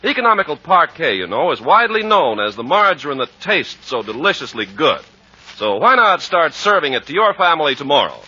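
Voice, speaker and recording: A muffled vintage radio recording features an American male announcer. His very forceful, slightly high voice has a husky edge and delivers scripted lines with emphatic 1940s salesmanship. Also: very animated, fairly quick.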